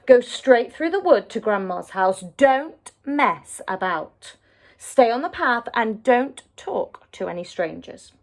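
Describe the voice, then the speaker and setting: Serious mother read by a woman, storybook part, firm instructing tone, highish and expressive. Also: slow, heavily acted. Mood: serious warning.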